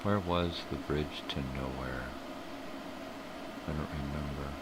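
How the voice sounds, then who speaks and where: A man's voice: very deep voice, fairly even intonation, takes it slowly. An older man hosting a live stream, rambling through casual, profane tangents while sniffly from a cold. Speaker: livestream host. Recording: clear background hum.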